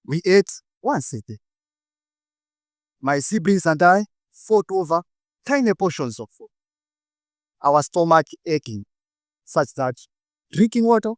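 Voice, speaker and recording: A man's voice: fairly high pitch, dry recording, studio quality. Malawian man giving a rehearsed stage talk. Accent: Malawian English. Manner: dramatic pauses, lines broken into short phrases.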